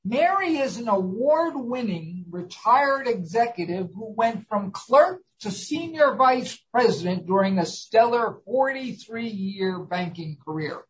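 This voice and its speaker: A man's voice: sits high, expressive, measured pace, few pauses, low-quality recording. A man hosting a talk show, interviewing a guest in an earnest, formal, scripted-sounding manner. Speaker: host.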